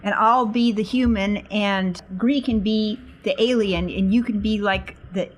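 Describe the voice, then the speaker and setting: A woman's voice: medium pitch, fairly even intonation, measured pace. A woman chatting casually as a player in a tabletop role-playing game.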